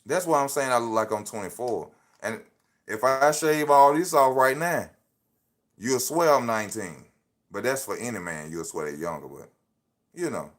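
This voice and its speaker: A man's voice: medium pitch, expressive, normal speed. A man in his thirties livestreaming off his phone, giving casual motivational talk full of slang and repeating his points like a preacher. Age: 30s. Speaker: livestream host.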